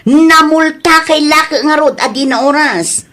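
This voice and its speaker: A woman's voice: high voice, a little slow, a bit bright. A woman acting an exasperated, scolding wife in an audio drama, with a nagging, questioning manner. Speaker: drama actor.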